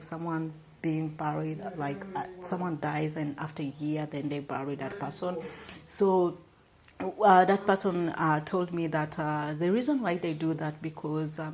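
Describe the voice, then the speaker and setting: A woman's voice: low-pitched, a little mellow. A young woman from Uganda talking casually to her viewers about her travels, with lots of fillers and asides to "guys". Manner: chatty, many fillers, addresses viewers as guys. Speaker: travel vlogger. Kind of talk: casual chat to camera.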